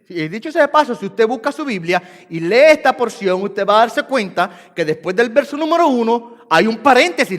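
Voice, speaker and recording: High-voiced Puerto Rican pastor preaching. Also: male voice, fairly bright tone. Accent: Puerto Rican Spanish. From Puerto Rico. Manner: repeats phrases for emphasis, rising and falling in force. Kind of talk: sermon. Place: church sanctuary. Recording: church hall reverb.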